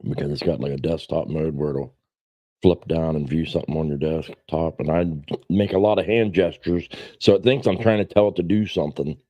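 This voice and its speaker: Male, forties, livestream host. Low, mellow voice, blunt and rambling, self-deprecating. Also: steady tone, fairly quick, dry recording. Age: 40s. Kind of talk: casual livestream chat.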